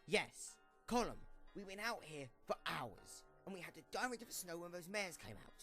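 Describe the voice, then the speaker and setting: A man's voice: high voice, animated, normal speed, sharp tone, faint music behind, full character voice. A man voicing a whiny, sarcastic young pegasus sidekick who grumbles about the cold, in an amateur audio drama. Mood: grumbling.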